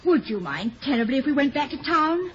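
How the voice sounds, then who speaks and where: An actress playing a playful, affectionate, coyly teasing sweetheart and wife in a 1950s radio comedy-drama. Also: female voice, high voice, very animated, fairly quick, a bit bright, a little hiss or hum. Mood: concerned, apologetic. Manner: coy, lightly teasing. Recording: muffled old 1950s radio recording.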